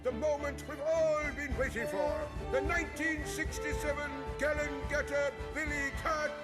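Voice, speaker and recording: An actor playing an excitable male race commentator in an animated film, announcing in a grandiose, dramatic way. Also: very high voice, moderate pace. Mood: excited, dramatic. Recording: loud music behind the voice.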